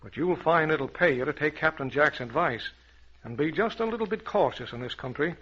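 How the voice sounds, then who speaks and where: An actor playing a commanding, fatherly safari adventurer in vintage radio adventure style, brisk and authoritative. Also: on the high side, lively intonation, fairly bright tone, a little hiss or hum. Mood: fatherly, cautioning. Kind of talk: 1940s radio adventure acting. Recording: dull lo-fi vintage radio recording.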